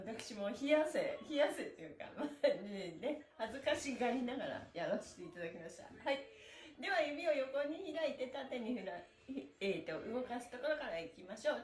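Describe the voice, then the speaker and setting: A middle-aged woman teaches stretching on a casual livestream. Her voice is on the high side and a little hoarse, with lively swings in pitch. She is chatty, cheerful and very polite, heard from a little distance in an echoey room. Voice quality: slightly hoarse. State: hoarse the morning after a performance and party. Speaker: stretching instructor and livestream host. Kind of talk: casual live exercise lesson with counted chants. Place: small bare-walled indoor room. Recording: roomy echo from camera distance.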